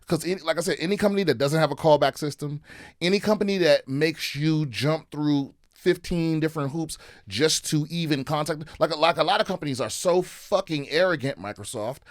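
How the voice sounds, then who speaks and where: A man's voice: on the high side, a little fast, no echo, clean studio sound. A man in casual podcast chat, ranting and swearing, with many restarts. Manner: ranting, profane, repeats and restarts. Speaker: comedian guest.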